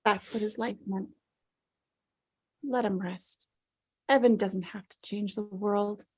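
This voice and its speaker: A woman playing a gently pleading character who consoles a grieving mother, soft and earnest, in a dramatic table read. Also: mid-range voice, fairly even intonation, takes it slowly, husky, dry recording. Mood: gentle, earnest.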